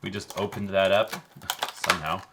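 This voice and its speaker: A man's voice: low voice, level tone, normal speed, very noisy. A man co-hosting a children's show, casually explaining how things are made, with many fillers. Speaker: co-host.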